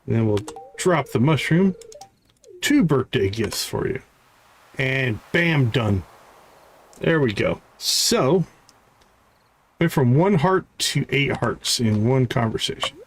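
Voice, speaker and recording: A man's voice: medium pitch, expressive, slow pace, pauses often. A man giving casual live commentary as he plays a video game. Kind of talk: casual livestream gameplay commentary, reading game dialogue aloud.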